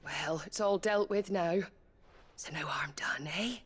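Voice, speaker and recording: An actress playing a soothing, gossipy village woman in a fantasy game, hushed and commiserating. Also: on the low side, measured pace, breathy edge, full character voice. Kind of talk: video game drama dialogue.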